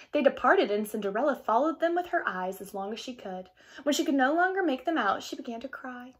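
Young female storyteller, highish and very animated, expressive children's read-aloud. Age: young adult. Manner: animated, clearly paced storytelling. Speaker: storyteller reading a picture book aloud. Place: small indoor room.

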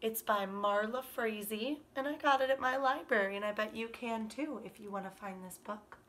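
A woman in her thirties, a kindergarten teacher, reading a picture book aloud to children in an animated, expressive way. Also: on the high side, a little hiss or hum. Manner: animated, expressive, drawn-out emphasis on words. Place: small living room by a fireplace, indoors.

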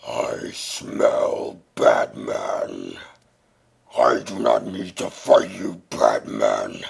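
Deep, raspy reptile monster. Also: male voice, very animated, full character voice. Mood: hungry menace. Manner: slow, patient, threatening. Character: hungry reptilian monster villain, slow and menacing.

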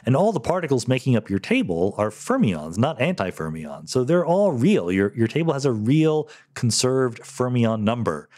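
A man's voice: medium pitch, sing-song, moderate pace, few pauses, a little mellow. A middle-aged man hosting a podcast, answering listener questions in a casual, thoughtful way, often correcting himself mid-sentence. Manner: thoughtful, self-correcting, lightly laughing. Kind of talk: casual solo Q&A explanation.

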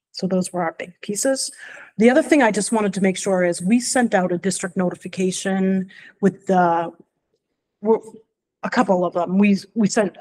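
A woman speaking as school superintendent, giving an informal, somewhat halting report at a board meeting in a library room. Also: mid-range voice, a little mellow.

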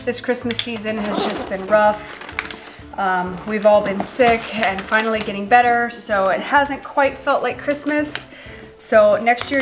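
A woman in her thirties with a stuffy, nasal voice from a cold, chatting casually and relaxed with many ums while she wraps gifts in her kitchen. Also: a little high. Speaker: lifestyle vlogger. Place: echoey home kitchen and living room.

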